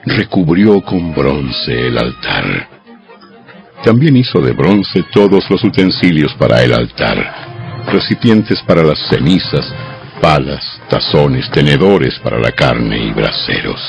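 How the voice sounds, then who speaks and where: A man reading scripture as a dramatized audio Bible narrator, with measured, deliberate phrasing. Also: rumbling low, very animated, gravelly. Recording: dull lo-fi audio over music. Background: background music.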